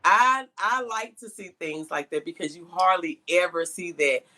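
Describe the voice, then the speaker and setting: A woman's voice: fairly low pitch, expressive, moderate pace, bright, forceful tone. A woman hosting a conversational interview, speaking emphatically and repeating key points for stress. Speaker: podcast host and interviewer.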